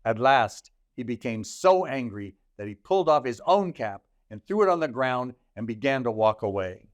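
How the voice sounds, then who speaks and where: A man reading a children's story aloud in deliberate, expressive phrases with dramatic pauses. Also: mid-range voice, no echo. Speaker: storybook reader.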